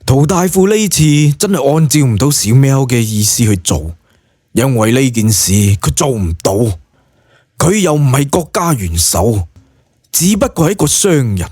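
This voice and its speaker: Lively Hong Kong Cantonese male narrator. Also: medium pitch, moderate pace, husky, no echo, studio quality. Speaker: audiobook narrator. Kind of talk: dramatised scripted narration of a web novel.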